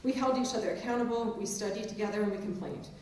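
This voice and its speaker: A woman's voice: mid-range voice, quick pace, darkish tone, a little hiss or hum. A woman in her forties with a mixed English and New Jersey accent and a touch of Maryland Eastern Shore. She is a professor giving a conversational, self-deprecating keynote speech. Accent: mixed English and New Jersey, with some Maryland Eastern Shore. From England, raised in New Jersey. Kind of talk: speech to an audience. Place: large auditorium stage. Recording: very echoey hall, distant mic.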